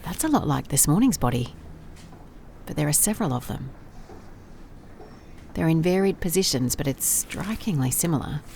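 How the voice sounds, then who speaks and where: A woman's voice: sits low, lively intonation, normal speed, vocal fry, pauses often, a little mellow, slight background noise. A woman playing a wry, methodical private investigator in an audio drama, musing and dictating case notes in a dry manner. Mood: puzzled. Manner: dry, musing, clipped note-taking. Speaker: lead actor in audio drama. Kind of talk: first-person audio drama case log.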